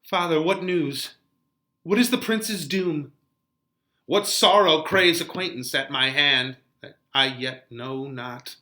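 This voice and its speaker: A man playing a young banished lover in a dramatic Shakespeare reading, melodramatic and anguished, with shouted outbursts. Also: a little high, slow. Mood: anxious. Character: young Romeo, banished lover, frantic and despairing.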